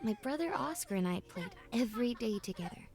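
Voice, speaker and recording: A woman playing a thoughtful, wistful young student leaving home, narrating a game's story in a gentle, reflective storybook style. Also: on the high side, sing-song, brisk. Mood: wistful, sad. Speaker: narrator and protagonist of a puzzle adventure game. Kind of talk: scripted first-person storybook narration. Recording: music behind the voice. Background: background music.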